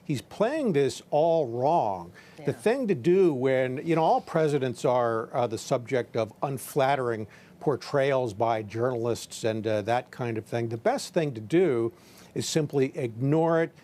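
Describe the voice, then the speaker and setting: A man's voice: mid-range voice, expressive, unhurried, mellow. A man commenting on politics as a guest in a live news discussion.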